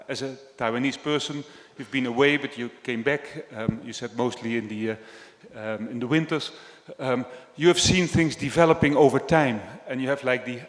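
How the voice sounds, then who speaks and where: A man from the Netherlands, chairing a panel in a relaxed, chatty way with frequent ums. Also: mid-range voice. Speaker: session chair. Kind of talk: conversational panel hosting. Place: large hall on stage. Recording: echoey hall with long reverb.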